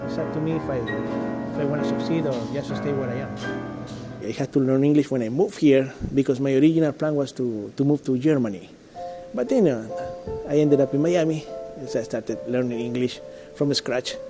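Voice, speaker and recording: Reflective Chilean-accented musician. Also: male voice, mid-range voice, moderate pace, over music. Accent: Chilean Spanish. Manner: reflective, plain-spoken. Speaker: musician and church music director. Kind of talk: documentary interview.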